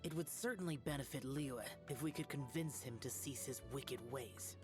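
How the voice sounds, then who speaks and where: A man's voice: mid-range voice, moderate pace, close to an everyday voice. A stern young immortal demon slayer from a video game, acted by a man, aloof and solemn. He speaks in formal, clipped phrases over prominent background music. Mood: solemn, instructive.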